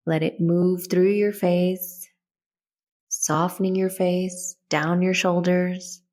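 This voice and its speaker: Low-pitched woman guiding a meditation. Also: little pitch movement, slow pace. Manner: soothing, gentle, instructional. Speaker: guided meditation host. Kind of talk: guided meditation narration.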